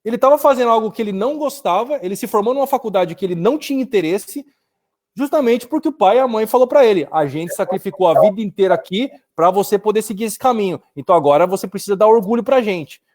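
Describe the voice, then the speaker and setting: Fast, animated Brazilian man. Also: sits high. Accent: Brazilian Portuguese. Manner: animated storytelling, often says "cara". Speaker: business owner and co-host. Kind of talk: casual live discussion. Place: his office. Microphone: video call.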